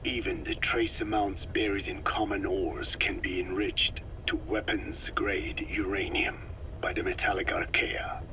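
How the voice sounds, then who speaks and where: An actor playing a solemn, elderly Navajo scientist in a video game, speaking in a breathy whispery rasp with halting, measured phrases. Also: male voice, sits low, steady tone, bright, forceful tone, a little hiss or hum, low-quality recording. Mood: grave, explaining. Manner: slow, measured, halting delivery in short phrases. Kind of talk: dramatic video game dialogue.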